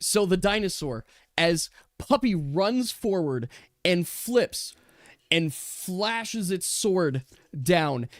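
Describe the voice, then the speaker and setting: A man's voice: sits high, no room sound. Man running a tabletop game, dry close sound. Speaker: game master. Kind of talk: running a tabletop role-playing game, part casual talk, part narration.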